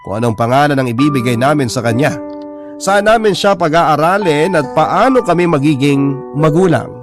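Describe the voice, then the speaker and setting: Male story narrator over prominent music. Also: medium pitch. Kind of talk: scripted first-person story narration.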